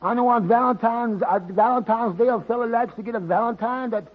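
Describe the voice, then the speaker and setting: Brief: high-voiced stammering barber, gentle and slow-witted, vintage radio. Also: male voice. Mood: flustered. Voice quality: stammering, hesitant. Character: timid stammering male barber, sweet-natured and dim. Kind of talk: 1940s radio comedy acting. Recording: dull lo-fi vintage radio recording.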